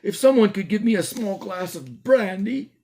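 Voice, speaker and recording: A man voicing a fussy old gentleman, faint and shaken. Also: high voice, big pitch swings, normal speed, gravelly, voice pushed up, full character voice. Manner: faint and quavering.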